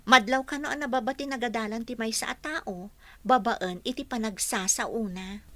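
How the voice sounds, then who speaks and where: A bright, fairly high-voiced young Ilocano housekeeper. She is a dutiful daughter in a radio drama and speaks with an Ilocano accent. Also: moderate pace, no room sound. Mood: earnest, respectful.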